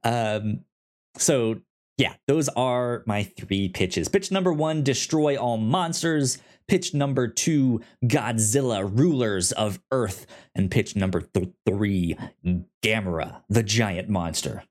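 Man, fairly low voice, podcast co-host, casual chat, stammering repeats. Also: slow pace, a little mellow. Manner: stammers, often repeating sounds and word beginnings. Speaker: podcast co-host pitching films and comics.

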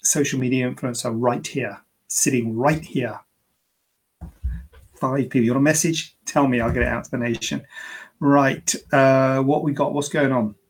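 A man's voice: medium pitch, fairly even intonation, moderate pace, husky. A man, a plastic surgeon, hosting a live-streamed question and answer session in a chatty, joking way, explaining medical advice in plain terms. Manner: chatty, joking, self-deprecating asides. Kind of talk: live-streamed Q&A, casual chat.

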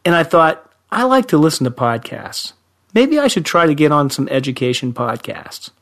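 A man's voice: a little high, big pitch swings, moderate pace, creaky, fairly bright tone. A man, a friendly, self-deprecating podcast guest with a young-sounding voice who laughs often. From Central Ohio, USA. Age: 50s. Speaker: high school teacher, guest. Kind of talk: podcast interview.

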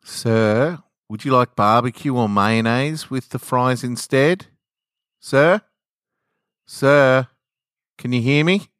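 Fast-food counter girl played by a man: young, raised pitch, overpolite, insistent, dismissive, for storybook narration. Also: fairly bright tone, clean tone, no room sound, studio quality. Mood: impatient, insistent. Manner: overpolite, insistent customer-service tone.

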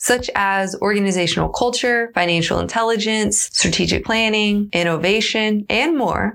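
Woman, gravelly fry, scripted promo read, measured and inviting. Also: mid-range voice, fairly bright tone. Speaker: ad reader.

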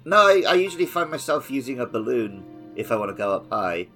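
A man's voice: mid-range voice, very animated, fast talker, sharp tone, soft background music. A man co-hosting a casual gaming podcast, explaining things in a conversational way. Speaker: podcast co-host.

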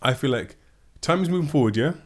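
A man co-hosting a podcast, rattling along at a quick clip. He teases and prods his co-host in casual, joking chat. His voice sits at an ordinary middle pitch. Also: expressive. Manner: fast-talking, teasing, prodding.

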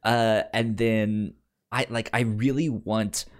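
A man co-hosting a podcast, chatting casually about TV shows in a halting way, often repeating the starts of words. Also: lowish voice, flat delivery. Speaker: podcast co-host.

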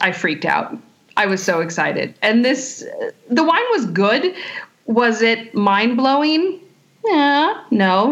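A woman's voice: mid-range voice, fairly bright tone. A woman from California, a sommelier guest, answering questions in a thoughtful way with many fillers and restarts. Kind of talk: casual interview answers.

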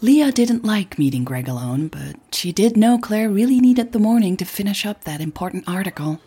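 A woman reading scripted audio drama narration in a husky voice. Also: medium pitch, animated, moderate pace, dark tone.

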